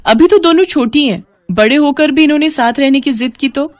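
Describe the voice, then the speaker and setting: A woman's voice: high voice, a little fast, no echo, studio quality, voice pushed up, lightly acted. A woman voicing a concerned, fretting mother of twin daughters in a moral story. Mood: complaining.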